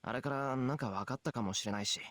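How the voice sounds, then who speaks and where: A man's voice: mid-range voice, very fast, a bit bright, dry recording, close to an everyday voice. A man voicing an earnest, blunt teenage schoolboy in a visual novel game. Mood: casual, concerned. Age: young adult.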